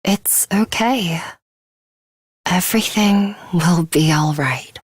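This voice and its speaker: Video game role: composed, coolly ruthless young female student fighter, deliberate slow delivery, dry studio sound. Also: lowish voice, slightly rough, no room sound. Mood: serious, controlled. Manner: measured, serious, deliberate. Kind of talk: English video game character voice lines.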